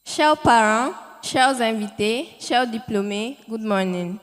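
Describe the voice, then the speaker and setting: A young woman speaks with proud confidence in a slightly high voice. Her speech rings out in a large hall with a long reverb. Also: animated, slow. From Nigeria. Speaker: student speaker. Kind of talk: formal ceremonial welcome speech.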